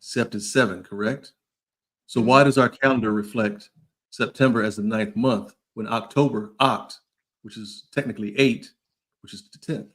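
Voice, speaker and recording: A man with a moderately low voice speaks as a podcast co-host. He is conversational and thoughtful, taking his time to build toward a point. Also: fairly even intonation, moderate pace. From Brooklyn, New York. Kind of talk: casual conversational explaining.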